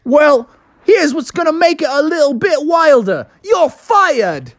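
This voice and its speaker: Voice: sits high, big pitch swings, measured pace, dry recording, studio quality, pitched above the performer's own voice. A storyteller voicing a brash male pizza shop boss with a put-on Aussie twang. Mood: bossy.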